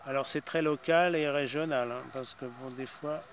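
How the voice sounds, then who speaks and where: A man's voice: medium pitch, fairly even intonation, moderate pace. A man chatting casually as an amateur radio operator, in hesitant phrases that often trail off, with some background noise.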